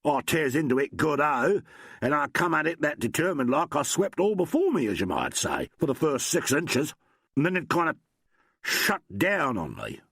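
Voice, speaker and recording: Bir adamın canlandırdığı kaba konuşan, somurtkan taşralı polis memuru. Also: male voice, a little high, big pitch swings, moderate pace, a bit bright, no echo, studio quality, voice pushed up, heavily acted. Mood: aggrieved. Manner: rough ungrammatical slangy speech, morose. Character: gruff rough-spoken male country constable.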